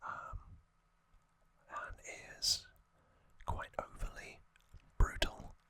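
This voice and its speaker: An ASMR host whispering trivia, softly repeating single words as triggers and reading from a box cover. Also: drawn-out words, no room sound. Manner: reads aloud from a box cover.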